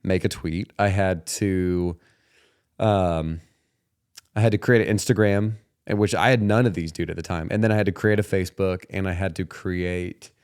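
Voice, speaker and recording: Low-voiced man in his thirties, podcast host. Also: fairly even intonation, moderate pace, a little mellow, clean tone. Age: 30s. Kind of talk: casual podcast chat.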